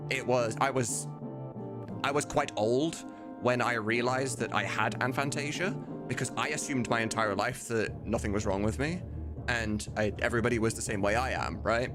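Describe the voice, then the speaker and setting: A man's voice: mid-range voice, normal speed. A man chatting casually on a livestream, talking candidly in a rambling way and swearing now and then. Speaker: streamer. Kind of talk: casual livestream chat answering viewers. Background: music.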